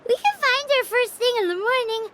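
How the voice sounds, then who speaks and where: Squeaky, chirpy little companion creature. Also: female voice, fairly quick, background noise. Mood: pondering. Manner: chirpy, expressive. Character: small cheerful childlike floating companion creature, squeaky. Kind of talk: English video game voice acting. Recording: game music behind the voice.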